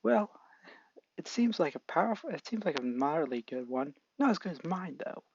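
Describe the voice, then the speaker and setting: A man's voice: fairly high pitch, very animated, fairly quick, darkish tone, muffled recording, a little hiss or hum, voice pushed up. A man voicing an arrogant, hot-tempered young bully who sneers and taunts. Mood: scoffing.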